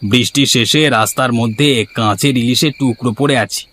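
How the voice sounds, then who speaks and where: A cartoon villager who shares eerie local tales, voiced by a man. The voice sits at a medium pitch, with faint noise and insect chirps behind it. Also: moderate pace, fairly bright tone, lightly acted. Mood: mysterious. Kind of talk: scripted cartoon dialogue.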